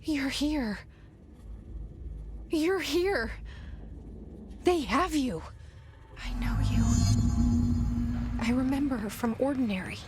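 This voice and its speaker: A haunted but determined young game heroine, speaks in a high voice with halting intensity. She takes her time over the words, with prominent music underneath. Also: lively intonation. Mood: searching, tense. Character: haunted, determined young woman searching a strange world. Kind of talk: cinematic video game acting.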